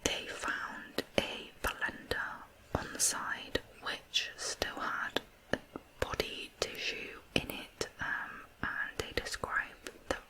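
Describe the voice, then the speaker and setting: A person narrating true crime in ASMR style, whispering softly with deliberate, unhurried phrasing. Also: poor audio.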